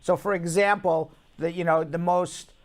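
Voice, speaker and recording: A man's voice: fairly high pitch, steady tone, normal speed. A man hosting a live call-in show, giving legal advice to callers in a blunt, emphatic, repetitive way.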